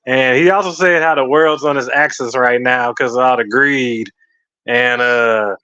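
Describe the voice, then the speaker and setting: A man's voice: mid-range voice, steady tone, unhurried, sharp tone, clean tone, no echo. A man telling a story in a rambling, slangy way, swearing and using lots of fillers. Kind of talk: casual storytelling. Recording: slightly muffled lo-fi audio.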